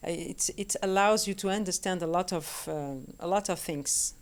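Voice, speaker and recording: A woman who grew up in France, a guest singer talking casually over a video call, halting often to search for words. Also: on the low side. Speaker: guest vocalist. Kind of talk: casual conversation.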